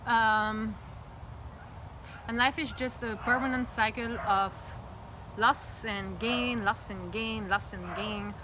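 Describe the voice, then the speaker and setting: A woman's voice: on the high side, fairly even intonation, slow pace. Reflective woman outdoors. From Belgium. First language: French. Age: 30s. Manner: reflective, open and talkative. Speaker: interviewee. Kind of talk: candid personal interview. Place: outdoors in front of her house. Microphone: phone camera. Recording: outdoor phone recording, traffic noise.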